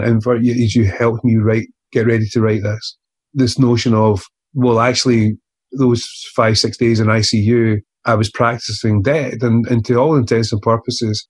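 A man, an author being interviewed, speaking earnestly and haltingly with many restarts. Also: medium pitch, darkish tone, breathy edge. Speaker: author guest. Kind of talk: reflective interview conversation.

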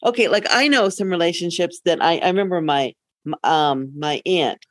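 A woman's voice: on the low side, normal speed, no room sound, studio quality. A middle-aged woman co-hosting a podcast in casual conversation, blunt and wry, given to anecdotes and opinions. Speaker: podcast co-host.

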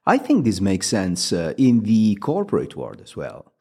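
A man's voice: lowish voice, huge pitch swings, unhurried, vocal fry, darkish tone, breathy edge. A man hosting an interview show who speaks reflectively, with hesitant uhs. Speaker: podcast host. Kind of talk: interview conversation.

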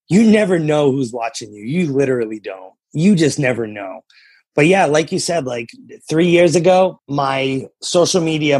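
Gravelly, husky American man, earnest. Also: medium pitch, darkish tone, no room sound. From New England, USA. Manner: earnest, emphatic, repeats phrases for emphasis. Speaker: coach and entrepreneur, interview guest. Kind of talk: conversational interview, motivational.

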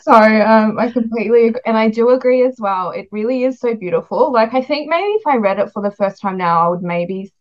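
A woman's voice: on the high side, brisk, few pauses. Woman podcast host, slightly muffled sound. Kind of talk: podcast interview, warm book chat.

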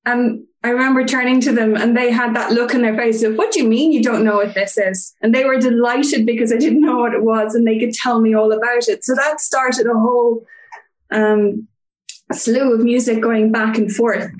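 A young woman with an Irish accent tells stories in an easy, chatty way, sprinkling in ums. Her voice sits a little high. The room around her is noticeably echoey. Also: steady tone, normal speed. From Ireland (Cork). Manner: chatty, storytelling with filler ums. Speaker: guest fan. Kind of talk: casual interview chat.

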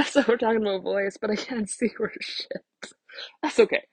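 A woman in her thirties speaks casually on a solo podcast, with a husky voice full of vocal fry. She rambles in a frank, self-deprecating way and swears freely. The sound is close and dry. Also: a little high, normal speed, a bit bright, no room sound. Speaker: podcast host, vocal empowerment coach.

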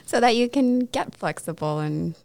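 A woman's voice: fairly high pitch, expressive, normal speed, vocal fry, a little mellow, no echo. A woman hosting a casual conversational interview in a playful, teasing way.

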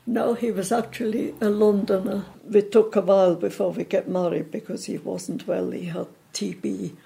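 Woman in her eighties, Swiss accent, gentle hesitant talk, heavy vocal fry, mellow. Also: medium pitch, fairly even intonation. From Switzerland. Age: 80s. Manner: gentle, hesitant, many pauses and restarts. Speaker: interview guest. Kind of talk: casual reminiscing.

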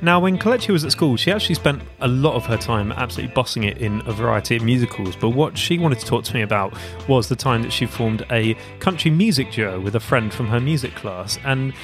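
A man's voice: mid-range voice, lively intonation, fast talker, few pauses, music in the background. A man hosting a podcast, reading an enthusiastic intro and then interviewing a guest remotely. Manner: upbeat, enthusiastic. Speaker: podcast host.

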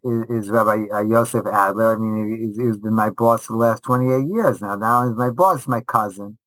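Bright-voiced middle-aged man, animated and halting. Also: on the low side, dry recording, poor audio. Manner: animated, halting delivery with repeated false starts. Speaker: guest rabbi and veteran Torah teacher. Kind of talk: casual interview storytelling.

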